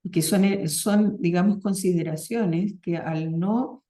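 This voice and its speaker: A middle-aged woman hosts an interview and speaks in a low, mellow voice. She often agrees with murmurs and backs up mid-sentence to find the right word. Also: unhurried. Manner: frequent affirming murmurs, searching restarts. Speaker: interviewer, psychologist. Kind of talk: conversational interview.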